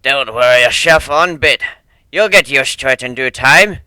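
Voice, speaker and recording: A grizzled old sea dog of a cartoon pegasus, played by a man, stern and deadpan. He talks bluntly with a salty seafaring swagger, forceful and bright in a close, dry recording. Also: medium pitch, normal speed, clean tone, dry recording. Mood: gruff, reassuring. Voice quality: stern, slurred S sounds, rolled R's.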